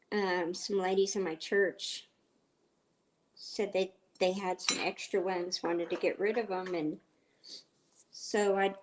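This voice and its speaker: A middle-aged woman hosts a cooking livestream, her voice raspy and a little congested from a cold. She talks at an unhurried, drawn-out pace and rambles warmly from one thought to the next, reading viewer comments aloud as she goes. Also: medium pitch. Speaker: livestream host. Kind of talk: casual cooking livestream chat.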